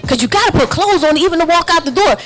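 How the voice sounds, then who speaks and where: A woman hosting a live talk show at a bar, chatty and exuberant, hyping things up with lively banter. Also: extremely high pitch, quick pace, rough recording. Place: live event in a bar restaurant. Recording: music playing behind the voice.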